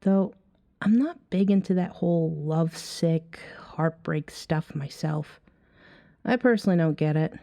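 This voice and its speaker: A self-assured vampire woman, played by a woman, flirts in an intimate ASMR roleplay. Her voice is soft and mellow, recorded dry and close. She takes her time, teasing and coaxing with breathy asides. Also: deep voice, unhurried, dry recording. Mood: relaxed, flirty. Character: flirty, self-assured female vampire chatting up a stranger at a bar. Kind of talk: ASMR roleplay, acted one-sided dialogue.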